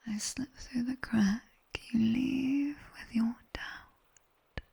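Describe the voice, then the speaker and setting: A British woman playing a sharp-tongued demoness tutor in a slow, teasing, breathy ASMR whisper, close on the microphone. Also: on the high side, steady tone, full character voice. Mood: sly, ominous. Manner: slow, deliberate, teasing, dryly stern. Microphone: close binaural microphone.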